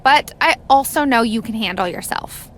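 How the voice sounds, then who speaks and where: An upbeat female boss in a wrestling video game. Her voice sits fairly high, and she announces matches in scripted cutscene style over faint noise. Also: animated, normal speed, fairly bright tone. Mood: businesslike.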